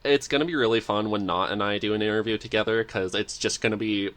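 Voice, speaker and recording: A man with a fairly low voice hosts a relaxed podcast conversation. He talks casually and jokingly, with a giggly streak. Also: quick pace. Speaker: podcast host. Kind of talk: casual interview chat.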